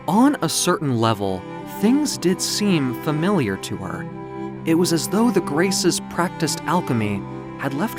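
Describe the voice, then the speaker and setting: A man voicing a proud, capricious ancient magical being in florid, theatrical storybook narration. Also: on the high side. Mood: amused, assured. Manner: florid, theatrical, self-satisfied. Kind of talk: dramatic first-person storybook narration. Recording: music behind the voice. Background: music.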